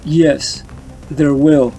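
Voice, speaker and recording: A man playing a resolute, brooding superhero in a comic dub, measured and grave. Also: a little high, fairly even intonation, dark tone, faint music behind. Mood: defiant resolve. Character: resolute male alien superhero, brooding and defiant. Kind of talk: dramatic comic dub acting.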